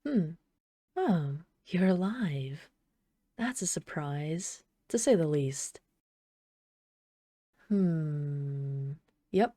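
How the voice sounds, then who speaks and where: A mellow, dark-toned villainess played by a woman speaks close and very dry, as in an ASMR roleplay. She is an obsessive yandere bomber, soft and unhurried, teasing her captive hero with casual menace in a lowish voice. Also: animated, dry recording, exaggerated voice. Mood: nonchalant counting. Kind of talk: ASMR roleplay, intimate scripted monologue.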